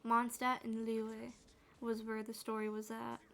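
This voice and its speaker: Quiet, soothing woman streamer, flat delivery. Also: a little slow, gravelly, a little hiss or hum. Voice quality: naturally low, quiet and soothing. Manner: rambling, with fillers and restarts. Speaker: gaming streamer. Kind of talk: soft-spoken gaming chat.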